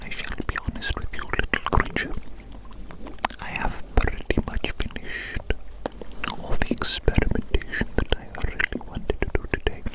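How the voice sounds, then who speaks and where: A woman's voice: moderate pace, low-quality recording. Whispering mad scientist woman, obsessively repetitive. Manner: theatrical, repeats phrases over and over. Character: obsessive evil mad scientist doctor, theatrical and grandiose. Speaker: ASMR roleplay performer. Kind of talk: whispered ASMR roleplay.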